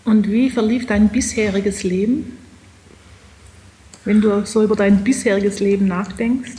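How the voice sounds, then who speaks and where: Woman, mid pitch, encouraging interviewer with plain questions. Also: fairly even intonation, unhurried, dark tone. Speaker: interviewer, seminar leader.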